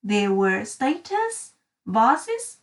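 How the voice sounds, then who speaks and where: A woman narrating a children's storybook in an expressive, dramatic and deliberate storytelling style. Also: on the high side, far from the mic. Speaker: read-aloud storyteller for children.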